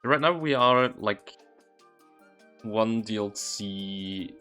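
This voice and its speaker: A man's voice: lowish voice, very slow, sharp tone. A man livestreaming casually, thinking aloud in a hesitant, loose way. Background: background music.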